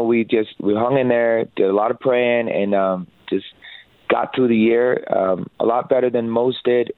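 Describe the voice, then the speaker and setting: A man's voice: on the low side, no echo. A man in his thirties from Hawaii, a musician talking in a casual interview with an earnest, upbeat manner and frequent fillers. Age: 30s. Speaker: musician guest. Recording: tinny phone line.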